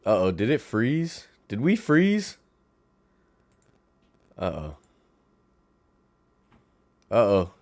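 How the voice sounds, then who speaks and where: Raspy-voiced man hosting a livestream. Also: lowish voice, drawn-out words, long pauses, dry recording. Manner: animated, playful, talks to chat viewers. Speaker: livestream host. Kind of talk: casual livestream chat. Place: home.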